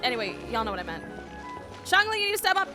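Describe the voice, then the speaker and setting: A woman streamer gives casual, slangy reaction commentary. Her voice is fairly high and very animated, gushing freely. Game music is clearly audible behind her. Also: quick pace.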